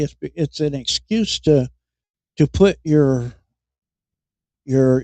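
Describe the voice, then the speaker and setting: A man hosting a podcast, giving mocking news commentary in a halting way and chuckling at his own jokes. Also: medium pitch, mellow, no echo. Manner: halting, repeats words as he goes. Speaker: podcast host.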